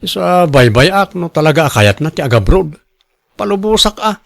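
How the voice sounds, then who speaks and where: A man's voice: on the high side, big pitch swings, moderate pace, gravelly, no room sound. A man playing a cash-strapped, defensive husband pleading for loans in an Ilocano radio drama, with an Ilocano accent. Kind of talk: Filipino radio drama acting.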